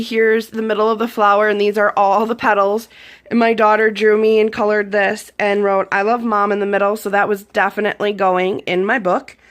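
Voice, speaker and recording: A hoarse woman, around her thirties, chatting casually with a lot of ums while showing her journal pages. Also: medium pitch. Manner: rambling, chatty, with frequent ums. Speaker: YouTube vlogger. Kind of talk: casual journal flip-through.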